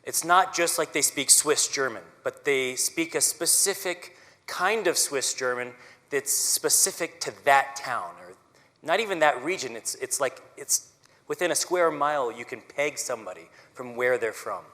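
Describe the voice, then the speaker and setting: A man's voice: medium pitch, animated, moderate pace, pushed and bright. Earnest man speaking in a reverberant hall. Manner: earnest, conversational, frequent "right?" asides. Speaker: symphony conductor giving a community talk. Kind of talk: personal public talk. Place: auditorium stage.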